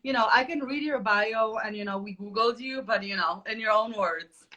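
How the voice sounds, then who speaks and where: A woman's voice: on the high side, moderate pace, sharp tone, dull audio. A woman hosting a casual live video interview from New York over a video call, chatty and laughing often, with many fillers. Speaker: podcast host.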